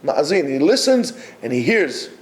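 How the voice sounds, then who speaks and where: A man giving a Torah lecture to yeshiva students, emphatic and exhorting, with many pauses. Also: on the high side, big pitch swings. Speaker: yeshiva teacher.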